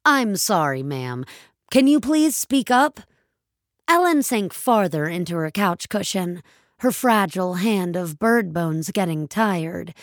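A woman reading scripted fiction as a narrator, with a measured storytelling delivery. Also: lowish voice, no room sound, studio quality. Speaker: narrator and reader of an audio story.

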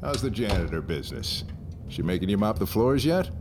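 A man's voice: lowish voice, big pitch swings, fairly quick, noisy background. An actor playing an abrasive older male business partner, condescending and profane, in a naturalistic audio drama. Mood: mockingly jovial.

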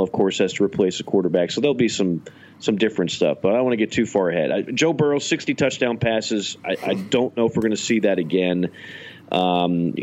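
A man's voice: fairly low pitch, a little mellow, highs cut off. A man co-hosting a podcast, chatting casually and animatedly with frequent restarts. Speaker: podcast co-host. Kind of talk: casual sports talk.

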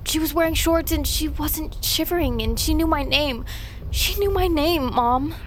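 A woman acting as a wary teenage girl in an audio drama. Her voice is high and youthful, guarded and easily spooked. Faint noise sits around her. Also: moderate pace, lightly acted. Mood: frightened.

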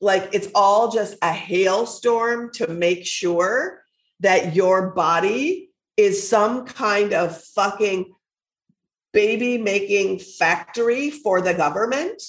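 A woman co-hosting a podcast, stuffy and low-voiced while recovering from an illness, talking bluntly and with passion, often swearing. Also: slow, reverberant. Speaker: podcast co-host. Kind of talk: casual chat.

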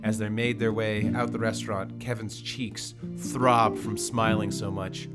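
A man's voice: on the low side, level tone, unhurried, loud music behind the voice, natural voice. A man playing an earnest narrator in a dramatic reading of romantic fan fiction. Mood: tender, dramatic.

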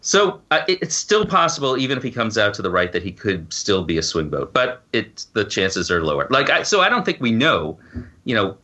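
A man's voice: on the low side, sing-song, brisk, bright, forceful tone. A middle-aged man talking casually as a podcast guest, stammering a little and thinking aloud as he answers. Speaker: guest novelist and law professor. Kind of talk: casual conversational podcast interview.

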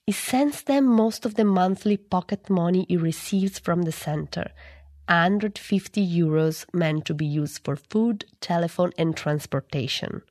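A woman's voice: on the low side, measured pace, darkish tone, no room sound, clean studio sound. A woman reading scripted narration as a radio news reporter.

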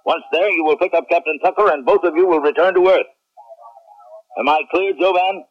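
An actor playing an authoritative, reassuring military general with a measured, commanding delivery in a radio drama. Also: male voice, a little high, natural voice. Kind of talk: 1970s radio drama acting. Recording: dull, band-limited vintage radio recording.

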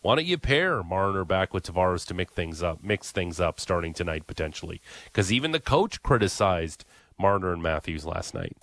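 Lowish-voiced man hosting sports radio. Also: very animated, moderate pace, a bit bright, no room sound. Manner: conversational, opinionated, fillers. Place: radio studio. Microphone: studio mic.

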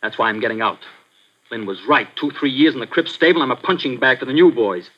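An actor playing a young boxer, earnest and blunt, who turns hard and angry, in a 1940s radio drama. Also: male voice, medium pitch, expressive, fast, natural voice. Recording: muffled old radio recording.

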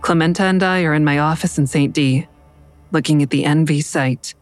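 Audio drama schemer, played by a woman: low-pitched, terse, guarded, holding back a guilty secret. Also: normal speed, soft background music, natural voice. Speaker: actor in a fiction podcast. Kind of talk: naturalistic audio drama acting.

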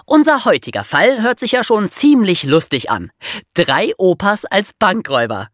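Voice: mid-range voice, big pitch swings, normal speed, fairly bright tone, no room sound, clean studio sound. A podcast co-host, switching between true-crime narration and banter.

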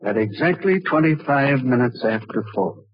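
A man's voice: medium pitch, lively intonation, normal speed, slightly rough. A man acting a bitter, resigned older father-in-law confessing with aggrieved deliberation in a 1940s radio drama. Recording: restored old radio, muffled and dry.

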